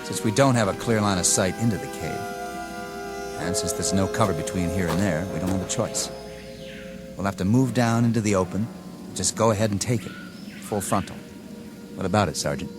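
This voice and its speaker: An actor playing an arrogant, inexperienced army lieutenant in a radio drama, with a clipped, formal, commanding manner. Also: male voice, low-pitched, fairly quick, long pauses, close to an everyday voice. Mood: controlled, firm. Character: arrogant, rigid, inexperienced young army lieutenant, eager for combat. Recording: dramatic music under the dialogue.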